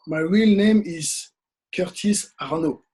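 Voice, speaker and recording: A man from Congo, raised in Paris, talking as an interview guest in halting English, tired after a workday. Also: sits high. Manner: halting non-native English, soft-spoken. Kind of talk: casual interview conversation.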